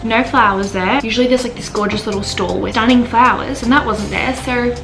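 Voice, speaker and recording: A woman's voice: fairly high pitch, fairly even intonation, brisk, poor audio. A young woman in her twenties chatting casually to a handheld camera in her vlog, upbeat and rambling, with music playing under her voice. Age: 20s. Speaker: vlogger. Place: home rooms indoors and windy beach park outdoors. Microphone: handheld camera close to face.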